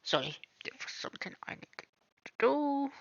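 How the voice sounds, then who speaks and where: A woman's voice: high voice, big pitch swings, moderate pace, vocal fry, muffled recording, slight background noise. A woman with a Finnish accent, Finnish her first language, chatting casually on a game stream and searching for her words. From Finland. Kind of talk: casual live-stream chat while gaming.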